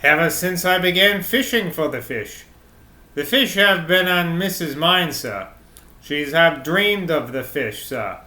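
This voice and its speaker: A man's voice: a little high, animated, measured pace, pushed and bright, roomy sound, heavily acted. Man doing a drawling old rustic fisherman. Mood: pleading. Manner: drawling, repeats "sir" constantly. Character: old male rustic fisherman, stubborn and fussy.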